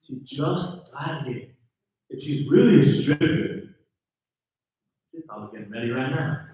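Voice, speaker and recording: A man's voice: medium pitch, animated, unhurried, natural voice. Exasperated, reluctant best friend male actor, script read, distant dull mic. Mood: alarmed, reasoning. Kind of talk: live table read of a short film script. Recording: echoey room, distant mic, dull sound.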